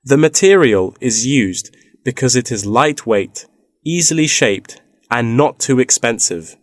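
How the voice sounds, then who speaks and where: An actor plays a knowledgeable man in a scripted listening-test dialogue. His voice sits at a medium pitch, with a measured, informative delivery. The recording is dry, with no room sound. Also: lively intonation, dry recording, lightly acted. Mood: explanatory. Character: knowledgeable man explaining wind farm technology.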